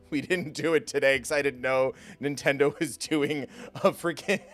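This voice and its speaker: A man's voice: mid-range voice, normal speed, fairly bright tone. Joking man chatting on a livestream, music behind. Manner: self-deprecating, joking. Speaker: video game livestream host. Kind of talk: casual rambling livestream chat with viewers.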